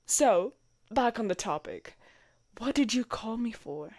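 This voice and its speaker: Woman acting a courteous, flustered lady knight, very expressive. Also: fairly high pitch, a little slow, dry recording, studio quality. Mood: fond, gentle. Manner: formal, courteous, easily flustered. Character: loyal, flustered young adult female knight commander. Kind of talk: roleplay audio drama acting.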